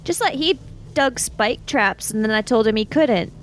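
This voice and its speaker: A woman's voice: fairly high pitch, lively intonation, moderate pace, lightly acted. A woman playing a blunt, moral young hero in a tabletop roleplay, plain-spoken and dry. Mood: earnest arguing. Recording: faint background noise.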